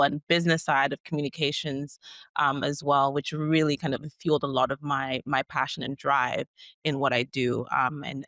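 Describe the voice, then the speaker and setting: Halting woman guest, dry close sound. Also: deep voice, a bit bright, no room sound. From grew up in Cairo, Egypt. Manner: hesitant, measured, halting phrasing. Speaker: guest entrepreneur. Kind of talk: interview answers.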